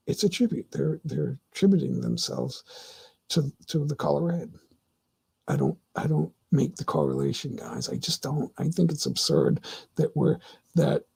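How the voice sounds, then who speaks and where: A middle-aged man hosting his own livestream, talking casually and answering chat in a rambling, opinionated way with many halting restarts. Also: on the high side, gravelly, mellow, husky. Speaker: livestream host.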